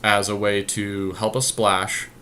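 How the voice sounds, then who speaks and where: A man's voice: on the low side, little pitch movement, takes it slowly, bright, forceful tone, a little hiss or hum. A man talking casually through card game deck choices, deliberating and reasoning aloud as he goes. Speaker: podcast host drafting a card game deck.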